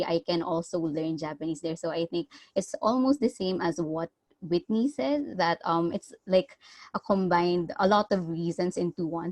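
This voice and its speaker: A woman from the Philippines speaking on a webinar panel over a video call, halting with many fillers. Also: lowish voice, darkish tone, dry recording. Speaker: student panelist.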